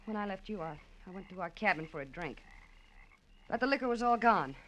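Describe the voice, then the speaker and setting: Woman acting a sharp, bright-voiced radio femme. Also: medium pitch, lively intonation, fairly quick, highs cut off, a little hiss or hum, lightly acted. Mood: bitter, determined. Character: cool, bitter, nervy wife in detective story. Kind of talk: 1940s radio drama acting.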